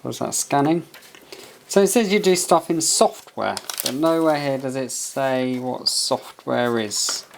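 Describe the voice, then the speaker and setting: Guy reviewing a gadget, noisy recording with clicks, mid pitched, kind of muttering to himself and reading instructions out. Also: measured pace. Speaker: product reviewer. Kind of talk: casual hands-on review, partly reading a manual aloud. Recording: clear background noise with handling clicks.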